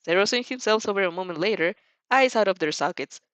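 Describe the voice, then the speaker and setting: A woman reading dramatized fan fiction as narrator, with expressive storytelling in slightly dull audio. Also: mid-range voice, fast, dry recording.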